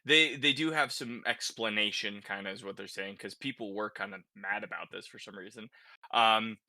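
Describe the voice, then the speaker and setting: A man with a very bright, forceful voice hosts a casual gaming discussion. He speaks conversationally and tags many statements with a quick check-in. The sound is dry and close. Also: lowish voice, gravelly, no room sound. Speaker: podcast host.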